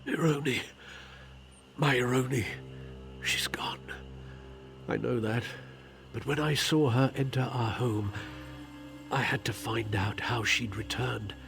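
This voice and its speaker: An actor playing a desperate, wounded, grieving husband in a fantasy video game, speaking haltingly and in pain. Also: male voice, medium pitch, fairly even intonation, vocal fry, a bit bright. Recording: music behind the voice.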